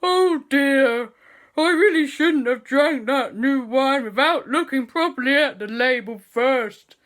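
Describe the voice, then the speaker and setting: Woman putting on a giant old granny, tearful, loud, high voice, slow. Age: older. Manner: wailing and loud. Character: grandmother turned giant, upset and tearful.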